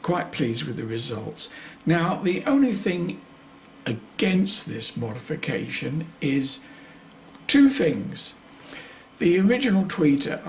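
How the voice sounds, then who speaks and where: A man with a creaky voice gives an informal, matter-of-fact repair demonstration, a little hesitant, with dry asides. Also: a little high, a little hiss or hum. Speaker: hobbyist repairer presenting own video.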